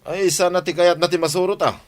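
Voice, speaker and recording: A man's voice: high voice, fairly even intonation, a little fast, close to an everyday voice. A man playing a grumbling, money-minded village father with an Ilocano accent in a radio drama.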